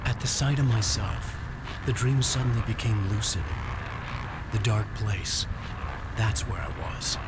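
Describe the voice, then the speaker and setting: A man's voice: on the low side, a little slow, pauses often, very noisy, natural voice. An actor voicing a writer who narrates his story in a brooding, reflective tone. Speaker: protagonist and narrator of a video game. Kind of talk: introspective scripted narration. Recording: game cutscene over loud music.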